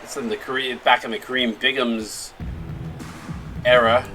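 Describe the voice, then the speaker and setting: A man's voice: medium pitch, little pitch movement, normal speed, music in the background. A tired man from New York doing easygoing, chatty commentary on a simulated basketball game, calling plays between banter. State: tired from little sleep. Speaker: play-by-play commentator.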